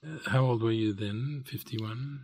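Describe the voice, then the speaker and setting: A man interviewing a guest, reading an introduction and asking measured, prompting questions. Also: medium pitch, creaky, darkish tone.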